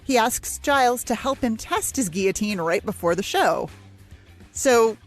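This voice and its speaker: A woman co-hosts a podcast in a somewhat high voice. Her manner is sardonic, whether recapping from a script or chatting, with a little music in the recording. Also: lively intonation, measured pace. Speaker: podcast co-host. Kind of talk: scripted recap and casual chat.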